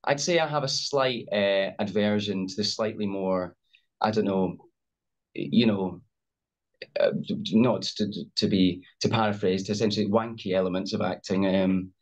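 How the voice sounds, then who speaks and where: A man's voice: lowish voice, fairly even intonation, moderate pace. A young Scottish man, an actor guest on a video call, speaking hesitantly with many fillers and restarts. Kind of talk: casual interview over video call. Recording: dull lo-fi video call audio.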